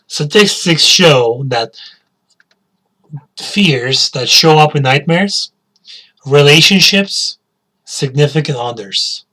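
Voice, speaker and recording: A man's voice: medium pitch, pushed and bright, slightly rough, poor audio. A male teacher giving a spoken English lesson, asking learners questions and repeating key words.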